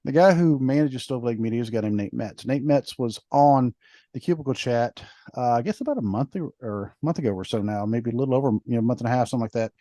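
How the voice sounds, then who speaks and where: A man's voice: mid-range voice, quick pace, mellow, dry recording. A man co-hosting a podcast, chatting in a rambling way with lots of fillers. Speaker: podcast co-host. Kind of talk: casual podcast chat.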